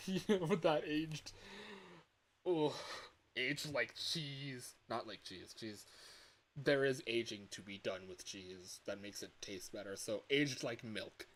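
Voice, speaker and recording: A man in his early twenties chatting casually on a livestream as a game developer, rambling in an excitable, self-deprecating, giggly way. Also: medium pitch, slow pace. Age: early 20s. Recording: hissy home livestream audio.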